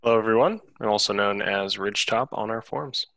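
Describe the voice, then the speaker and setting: Bright-voiced man in casual banter. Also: on the low side, fast, no room sound. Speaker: podcast regular and player. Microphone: headset, video call.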